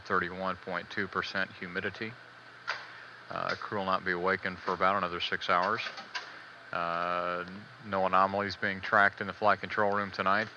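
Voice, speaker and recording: A man giving live spaceflight status commentary as a mission control announcer, measured, hesitant and matter-of-fact, on old band-limited broadcast audio. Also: deep voice, dull audio, noisy background.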